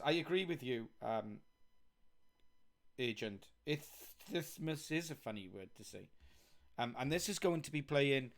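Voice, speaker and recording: A man's voice: a little high, gravelly. A man with a Welsh accent live-streaming a coding game, chatting casually as he thinks aloud and reads on-screen text.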